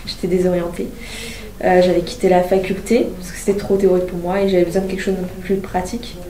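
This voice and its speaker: A woman of twenty-one, a civic service volunteer, speaking in a measured way about her work. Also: fairly low pitch, level tone, warm tone. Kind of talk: personal testimonial. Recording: roomy distant recording, faint noise.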